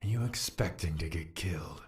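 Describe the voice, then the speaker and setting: An actor playing a calm, probing confidant to a prince in an audio drama, measured and terse. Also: male voice, deep voice. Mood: cool, questioning.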